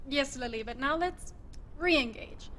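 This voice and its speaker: A woman's voice: sits high, unhurried, noisy background. A woman playing a curt, menacing female desert guardian with a dry sarcastic manner in an audio drama. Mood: brisk, calculating.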